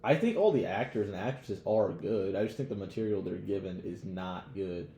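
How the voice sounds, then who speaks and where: A young American man with a lowish, mellow voice talks casually as a podcast co-host. He is blunt and slangy and swears freely. The recording is roomy and echoey, with faint noise behind him. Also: animated, normal speed. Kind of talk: casual opinionated podcast chat. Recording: roomy echo, background noise.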